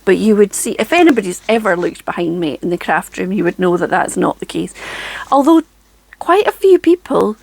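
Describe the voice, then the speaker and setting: Chatty Scottish woman with creaky voice. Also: mid-range voice, very animated, normal speed, dry recording. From Scotland. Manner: chatty, self-deprecating, with frequent ums and soft laughs. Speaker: craft channel host. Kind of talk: casual chat to camera. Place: home craft room.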